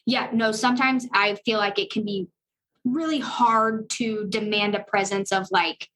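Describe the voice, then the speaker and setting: A young woman with a high-pitched voice talking in an upbeat, earnest and chatty way as a guest on a podcast. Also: fairly even intonation, a little slow, fairly bright tone. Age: young adult. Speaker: loan officer guest. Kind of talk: casual podcast conversation.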